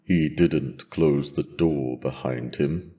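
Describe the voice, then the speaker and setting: A man voicing a wise, steady dragon in a fantasy audio drama, speaking in a measured, gently probing way. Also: rumbling low. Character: wise, steady male dragon, bonded to his rider.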